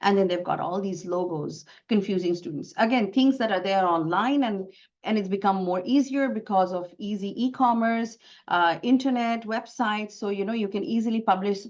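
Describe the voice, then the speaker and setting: A woman's voice: mid-range voice, moderate pace, a little mellow. Woman, Bangladeshi accent, native Bengali speaker, webinar presenter, earnest and explanatory, repeats words for stress, mid-range voice, slightly muffled call audio.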